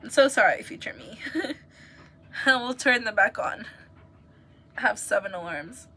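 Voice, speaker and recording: A woman's voice: medium pitch, animated, unhurried, sharp tone, breathy edge. A young woman from the United States, chatting casually and giggling as she rambles to viewers on a livestream.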